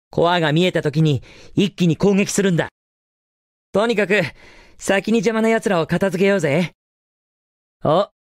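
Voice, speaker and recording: A man's voice: high-pitched, no room sound, studio quality, exaggerated voice. An actor playing a cocky, confident young male hedgehog hero in a Japanese video game, with a cool, casual delivery. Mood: cocky, taunting.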